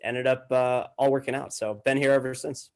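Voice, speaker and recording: A man's voice: medium pitch, little pitch movement, normal speed. A man in his twenties from Massachusetts with an American accent, chatting and telling stories in an informal, slangy way. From Massachusetts, United States. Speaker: podcast guest. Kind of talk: casual conversational storytelling.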